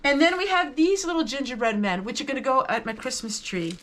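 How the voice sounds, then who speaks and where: Woman, high-pitched voice, enthusiastic vlog host, casual show-and-tell chat, noticeable background noise. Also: brisk, fairly bright tone. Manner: enthusiastic, appreciative. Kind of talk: casual haul chat to viewers.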